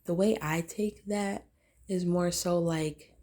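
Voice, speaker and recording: A woman vlogger with a raspy voice, talking in a loose, rambling casual monologue full of fillers. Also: fairly low pitch, fairly even intonation, slow, warm tone.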